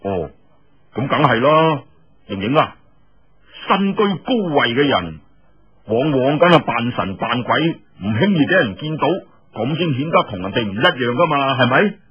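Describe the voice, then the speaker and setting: Guy doing young wuxia hero, casual n nosy, raspy, takes his time, storybook style. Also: mid-range voice, very animated, unhurried, exaggerated voice. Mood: knowing, teasing. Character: young swordsman hero, curious, casual and familiar. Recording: muffled, dull lo-fi audio.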